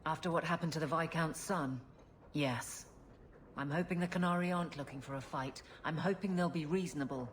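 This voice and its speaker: A woman's voice: low voice, brisk, slight background noise, natural voice. An actress playing an earnest, worried female guard captain.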